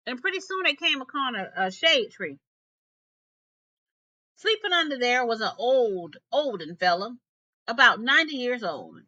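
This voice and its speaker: A woman reading a folktale aloud as an expressive storyteller. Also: fairly high pitch, measured pace, pauses often, no echo, dull audio.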